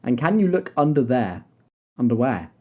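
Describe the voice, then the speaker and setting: A young man hosting a mock launch webcast, joking and often pausing with fillers. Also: medium pitch, very animated, mellow. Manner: joking, hesitant with many fillers. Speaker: webcast host.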